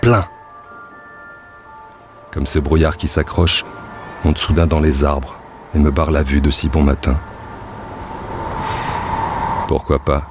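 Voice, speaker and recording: An actor playing a musing fairground man in an audio drama, thinking aloud in halting, poetic phrases. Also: extremely low pitch, level tone, exaggerated voice. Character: brooding, musing fairground man dreaming up rides. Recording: music under the voice. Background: music.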